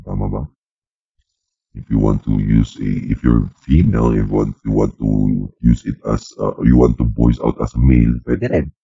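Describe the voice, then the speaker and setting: Filipino guy on a super deep filter, gravelly, dark and muffled. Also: fairly even intonation, normal speed, no echo, exaggerated voice. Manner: playful, trying out effects. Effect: deep voice changer filter.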